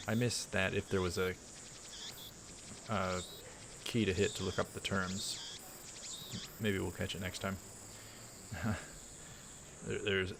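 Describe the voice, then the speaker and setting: A man with a deep, low voice reads a visual novel aloud for a let's play. He moves between measured narration and halting casual asides. The home recording carries clear background noise.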